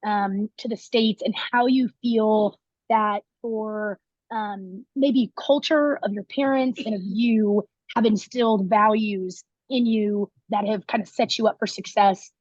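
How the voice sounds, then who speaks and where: A woman's voice: mid-range voice, steady tone, slow, a little mellow, dry recording, dull audio. A young woman hosting a casual video interview, upbeat and chatty with plenty of fillers. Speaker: interview host.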